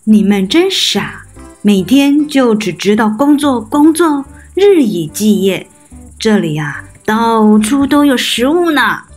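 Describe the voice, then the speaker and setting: Woman playing a lazy carefree grasshopper, expressive and drawling. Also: a little high, takes it slowly, soft background music, full character voice. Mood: smug, mocking.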